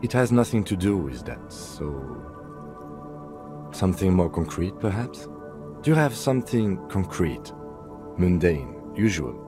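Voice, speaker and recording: A man playing an earnest, reserved police lieutenant in a video game, with measured, polite, deadpan delivery. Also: low-pitched, over music. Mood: tactful. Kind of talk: video game dialogue acting.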